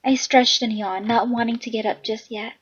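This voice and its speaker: A hoarse young woman reading a visual novel aloud, chatty with excitable asides. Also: on the high side, moderate pace, lo-fi sound. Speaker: game reader and narrator.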